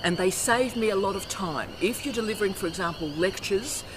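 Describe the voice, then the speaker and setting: A woman's voice: mid-range voice, expressive, brisk. A middle-aged woman, a university academic, giving an emphatic, forthright instructional vlog talk outdoors on a campus by the ocean, filmed on her own camera. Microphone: self-filmed camera outdoors. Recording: outdoor noise with birds and traffic.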